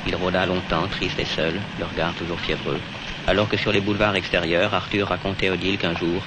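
A man reading a film's voice-over narration in a calm, even, literary manner. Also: deep voice, fairly quick. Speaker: film narrator. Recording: loud hiss of an old film soundtrack.

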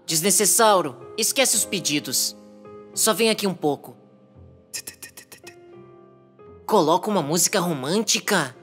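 An actor voicing a mild-mannered, easily exasperated cartoon dinosaur in Brazilian Portuguese, with an expressive, plaintive delivery. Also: medium pitch, moderate pace, lightly acted. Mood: exasperated, pleading. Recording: music behind the voice.

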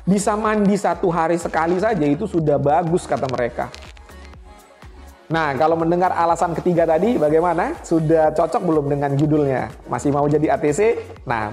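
A man's voice: fairly high pitch, fairly quick, a little mellow, faint music behind. A man, explaining things conversationally to camera as a host and addressing viewers directly. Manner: conversational, addresses viewers as friends with rhetorical questions. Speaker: air traffic controller and channel host. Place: small indoor room, studio-like set. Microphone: clip-on lapel mic.

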